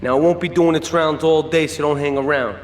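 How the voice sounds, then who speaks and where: A man's voice: a little high, fairly even intonation, brisk, echoey room, in a large hall, natural voice. A man playing a cocky young mobster. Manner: smug, casual, bossy. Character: cocky young mobster mechanic, the Don's son.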